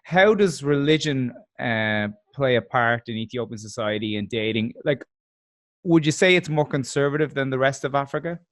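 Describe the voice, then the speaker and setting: A man hosting an interview, chatty and curious, asking relaxed questions. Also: medium pitch, moderate pace. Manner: chatty, curious, drops in learned phrases. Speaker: host. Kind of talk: casual interview chat.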